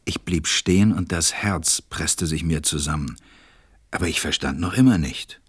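A man's voice: extremely low pitch, moderate pace, slightly rough, no room sound, clean studio sound, full character voice. A man narrating and acting in an audio drama as a tender, melancholy stranded pilot. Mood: tense narration.

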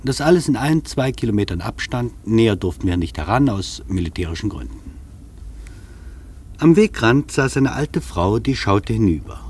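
Opinionated traveller in a radio play: lively intonation, slightly rough husky tone, measured literary delivery with dry irony. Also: male voice, fairly low pitch. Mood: dry, ironic. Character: wry, opinionated male traveller recounting his journey. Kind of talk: literary first-person radio narration.

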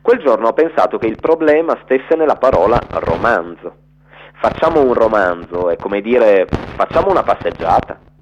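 A low-pitched man narrates a literary letter as radio theatre. His delivery is expressive and storyteller-like, swinging widely in pitch. The sound is a little dull, like a radio broadcast. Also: moderate pace.

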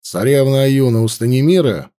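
Audiobook: male reader as a menacing male captor, slow, clipped and dark. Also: medium pitch, lively intonation, lightly acted. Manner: slow clipped hissing threats. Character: grim male warrior captor, cold, menacing.

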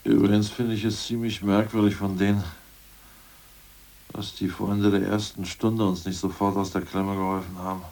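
An actor playing an excitable, blustering ousted party official in a 1980s radio play, quick to take offense. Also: male voice, on the low side, natural voice. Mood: chummy. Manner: indignant, blustering.

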